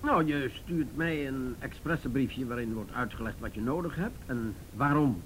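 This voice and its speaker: A man's voice: medium pitch, moderate pace, lightly acted, rough recording. An actor playing an older, jovial and patronising male police chief in a radio drama, hesitant and avuncular. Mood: placating. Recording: radio drama with faint background noise.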